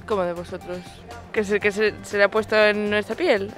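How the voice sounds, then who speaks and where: A young man giving puzzled, halting answers in a street interview outdoors. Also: high voice, steady tone, fairly bright tone, soft background music. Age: young adult. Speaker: passerby interviewee. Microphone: handheld furry mic.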